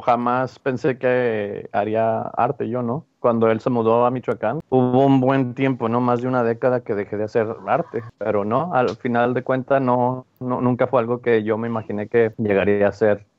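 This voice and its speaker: A man's voice: medium pitch, steady tone, moderate pace, few pauses, no echo. Northern Mexican man chatting, slightly muffled recording. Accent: northern Mexican Spanish. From Ciudad Juárez, Mexico, and El Paso from age twelve. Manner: informal, digressive, often tags phrases with a checking "¿no?". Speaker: guest, printmaker artist. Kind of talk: casual conversational interview. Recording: slightly dull, lo-fi, dry sound.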